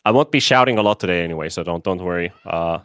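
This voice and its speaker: A man's voice: sits low, quick pace, clear, resonant tone, dry recording, clean studio sound. Nasal Bulgarian man casting a game. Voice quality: a bit nasal. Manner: relaxed, chatty, many fillers and restarts. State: recovering from flu, slightly sore voice. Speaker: esports caster. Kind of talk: live game commentary.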